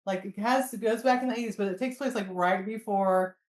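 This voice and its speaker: A woman's voice: medium pitch, a little fast. An American woman in her fifties hosting a casual conversational interview and asking questions informally. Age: 50s. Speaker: podcast host. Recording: roomy, echoey sound.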